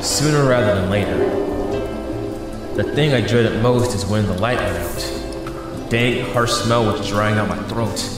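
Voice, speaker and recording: An actor playing a weary, injured young male superhero held captive, narrating a halting inner monologue in an audio drama. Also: on the low side, close to an everyday voice. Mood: exhausted, anxious. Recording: echoing room with music underneath. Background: music.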